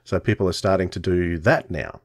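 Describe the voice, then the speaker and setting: A middle-aged man, hosting a casual podcast chat in halting, hesitant phrases. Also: low-pitched, gravelly, dry recording, studio quality. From Australia. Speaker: podcast host. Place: indoors on a video call, close dry sound.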